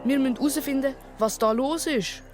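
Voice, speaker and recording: A woman's voice: high voice, brisk, music in the background, close to an everyday voice. A woman playing an anxious, earnest child twin with a Swiss German accent in a children's radio play, blunt and urgent. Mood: worried, urgent.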